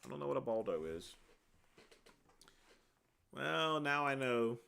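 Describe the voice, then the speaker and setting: Livestream host, man with a deep voice, animated, forceful delivery, rambling chat. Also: measured pace, creaky, smooth. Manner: rambling, answers viewer questions one by one with many uh fillers.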